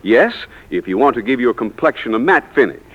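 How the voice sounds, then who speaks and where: An American man delivering a polished, emphatic scripted sales pitch as a 1940s radio announcer. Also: very animated, fairly quick. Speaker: commercial announcer. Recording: tinny, narrow 1940s radio recording.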